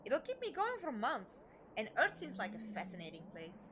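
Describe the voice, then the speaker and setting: An eager young alien traveller, played by a woman, curious and enthusiastic, with a fairly high, animated voice. The audio drama recording has faint noise. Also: moderate pace. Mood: fascinated. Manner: wide-eyed, enthusiastic.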